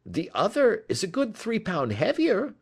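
A man's voice: high-pitched, wildly swooping pitch, measured pace, slightly rough, heavily acted. Brief: male reader as a plain-spoken, faintly huffy sister. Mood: matter-of-fact. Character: homely, slightly huffy woman, the thief's sister.

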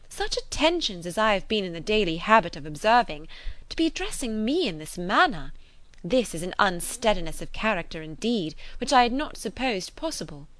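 A woman's voice: mid-range voice, fairly bright tone, dry recording, close to an everyday voice. Woman playing an indignant, proud young lady. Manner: formal, emphatic, affronted. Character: indignant, proud, well-bred young lady rebuffing a suitor. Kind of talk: dramatised classic novel reading.

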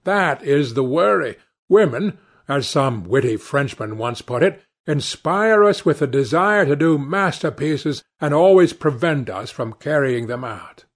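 Man performing a languid, cynical aristocrat with swooping pitch. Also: expressive, a little slow, hardly any pauses. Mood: languid cynicism. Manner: epigrammatic, nonchalant, pompous. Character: languid witty cynical male aristocrat, urbane and teasing. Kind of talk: audiobook character dialogue.

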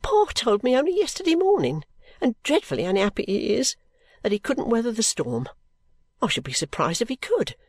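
A woman voicing a sulky, overworked young woman who complains abruptly and breaks into pitched outbursts. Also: darkish tone, no echo.

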